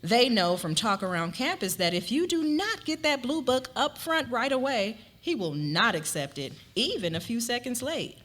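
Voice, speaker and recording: A woman's voice: on the high side, expressive, moderate pace, few pauses, a bit bright. A woman narrating a scripted story as a dramatic reading.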